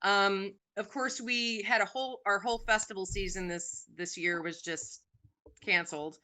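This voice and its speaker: A woman's voice: mid-range voice, steady tone, normal speed, low-quality recording. A woman in her forties, a singer guest on a podcast, chatting casually with lots of "um"s. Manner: chatty, warm about her music, many "um"s.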